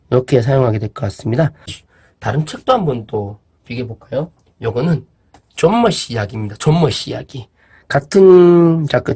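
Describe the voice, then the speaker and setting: Husky-voiced man chatting through a gadget demo. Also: mid-range voice. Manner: relaxed, chatty, thinks aloud with fillers and asides. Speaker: product reviewer. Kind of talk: casual product demo vlog.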